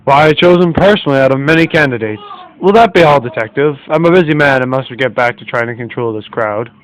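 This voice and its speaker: Man as a fast-talking, haughty rich gentleman. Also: medium pitch, lightly acted, rough recording. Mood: brusque. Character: haughty, dismissive wealthy gentleman of the 1940s. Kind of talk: amateur internet radio play acting.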